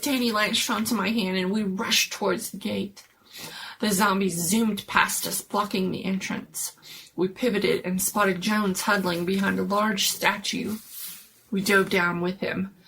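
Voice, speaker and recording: A woman's voice: mid-range voice, gravelly, sharp tone, slight background noise, rough recording. A woman giving a dramatic, suspenseful scripted narration of a scary story.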